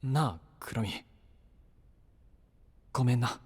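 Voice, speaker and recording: An actor playing a brash, rough-talking young knight and younger brother in an anime-style voice drama. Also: male voice, medium pitch, slow pace, long reverb tail. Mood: pleading, remorseful. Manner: blunt, rough masculine speech.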